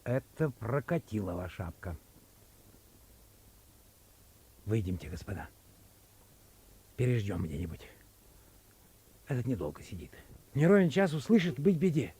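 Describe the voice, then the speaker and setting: A man's voice: medium pitch, sing-song, normal speed, pauses often, slight background noise. An actor playing a fawning, timid minor clerk in a 1950s Russian radio play, fussy and coaxing with his companions. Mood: cowed, hushed. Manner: fussy, coaxing, hushed when cowed. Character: fawning, timid male minor clerk hosting hungry colleagues.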